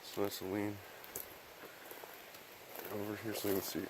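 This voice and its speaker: Hoarse guy w a cold talking low and flat into his camera out in the mountain woods, rambly, lots of uhs. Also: normal speed, noisy background. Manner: rambling, thinking aloud with fillers and throat clearing. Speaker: outdoor explorer filming a vlog. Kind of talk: casual narration while hiking. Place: remote mountain forest, outdoors.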